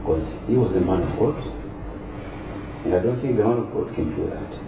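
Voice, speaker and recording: A man with a very low voice answers questions calmly, pausing and filling gaps as he searches for words. He sounds far from the mic in an echoey space, with clear background noise over dull, lo-fi sound. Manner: hesitant, many fillers, calm. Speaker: interviewee. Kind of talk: casual interview answers. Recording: distant echoey lo-fi recording with noise.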